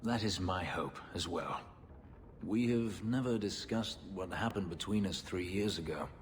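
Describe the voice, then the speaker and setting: Game role: bitter ex-slave elf warrior, very low solemn voice, reverberant hall sound. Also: male voice. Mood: remorseful, tender. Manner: brooding, solemn, measured. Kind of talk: dramatic video game acting. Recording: long hall reverb, background noise.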